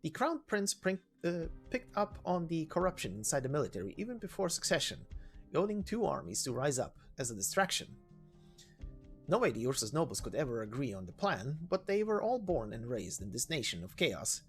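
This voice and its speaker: A woman's voice: sits low, big pitch swings, fairly quick, faint music behind. A woman voicing a serious, troubled female former knight, a loyal aide who speaks earnestly in a game story. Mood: somber.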